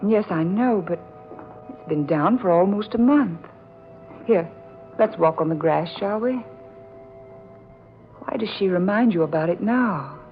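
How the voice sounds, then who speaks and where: Troubled, polite young bride-to-be, 1940s radio acting, lowish voice, music behind. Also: female voice, animated, measured pace, pauses often. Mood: puzzled. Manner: earnest, plaintive. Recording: dull vintage radio drama recording.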